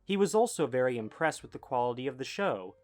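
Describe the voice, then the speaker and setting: A man's voice: medium pitch, very animated, fast. A man reading scripted documentary narration as a video essay narrator. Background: background music.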